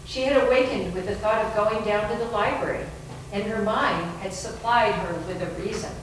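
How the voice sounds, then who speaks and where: A woman's voice: medium pitch, moderate pace. A woman narrating a scripted story in a dramatic readers theater reading. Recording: very echoey room, distant mic, faint noise.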